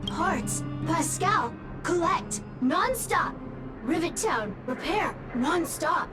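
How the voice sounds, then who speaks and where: A woman voicing a loyal caretaker robot that speaks in halting broken phrases, one or two words at a time, in a video game cutscene dub. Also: extremely high pitch, lively intonation, exaggerated voice. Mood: earnest. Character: loyal caretaker robot, patient and simple-minded. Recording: game music under the voice.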